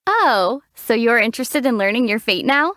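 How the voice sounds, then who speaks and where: A woman voicing a young, level-headed traveler heroine in a video game, answering in a calm, gently wry way. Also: fairly high pitch, normal speed. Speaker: game protagonist. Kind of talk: video game character dialogue. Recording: dry, close studio voice.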